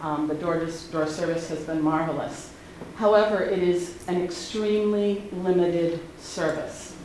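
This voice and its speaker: A middle-aged woman, speaking earnestly and haltingly at a public meeting. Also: lowish voice, fairly even intonation, darkish tone. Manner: earnest, halting, many ums. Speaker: public commenter. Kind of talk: unscripted public comment. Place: community meeting hall. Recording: very echoey hall, faint noise.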